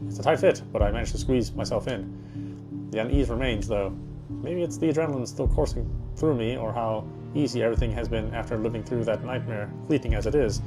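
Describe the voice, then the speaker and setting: Man, medium pitch, dramatic horror read-aloud, occasional stumbles and repeats, music in background. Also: fairly quick. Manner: stumbles over words and rereads phrases. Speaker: game player reading aloud.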